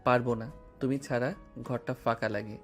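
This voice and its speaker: A man's voice: medium pitch, steady tone, normal speed, lightly acted. A male voice actor playing a playful, devoted young husband in an audio romance drama. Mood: sulky. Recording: music bed underneath.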